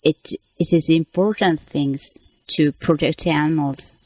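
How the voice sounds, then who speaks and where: A woman's voice: deep voice, fairly even intonation, a little slow, a little mellow, low-quality recording. A woman who works as an animal keeper speaks in simple, hesitant English during an interview. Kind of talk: interview conversation. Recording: faint background noise.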